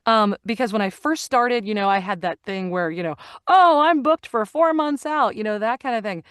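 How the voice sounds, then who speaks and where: A woman's voice: mid-range voice, animated, moderate pace, clean tone, no echo, studio quality. A middle-aged woman talking casually as an interview guest, telling stories and acting out the lines she quotes. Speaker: guest, actor and audiobook narrator.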